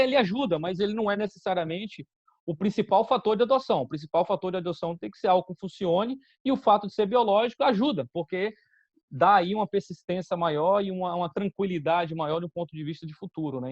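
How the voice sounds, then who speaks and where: Bahian man with a measured, dry voice. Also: high voice, no room sound. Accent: Bahian Brazilian Portuguese. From Salvador, Bahia, Brazil. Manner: measured, with frequent restarts and fillers. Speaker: guest, company executive. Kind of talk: interview answers. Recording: dull, dry lo-fi sound.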